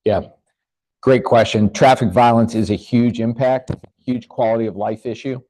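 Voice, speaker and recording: A man speaking as a government official at a community meeting, answering questions in an earnest, measured way with occasional restarts. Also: mid-range voice, fairly even intonation, mellow, no echo.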